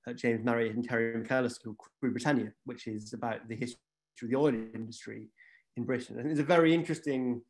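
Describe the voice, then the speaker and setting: A man's voice: medium pitch, steady tone, fast. A man with a Scottish accent, a guest on an online panel discussion, arguing his point at length and peppering his talk with "you know". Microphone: video call.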